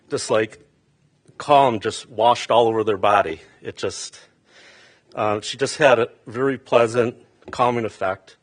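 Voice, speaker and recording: A man giving a halting funeral eulogy for his mother in a funeral chapel, with many fillers and restarts. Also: mid-range voice.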